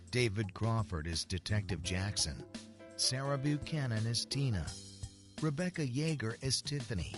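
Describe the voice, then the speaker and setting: Low-voiced male announcer over music. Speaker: radio drama announcer. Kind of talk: scripted credits narration.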